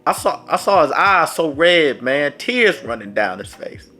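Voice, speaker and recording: Man, casual storytime, dramatic, very lively pitch, soft music under his voice. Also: measured pace. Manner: dramatic, animated, speaks to viewers directly. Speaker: storyteller vlogger. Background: faint background music.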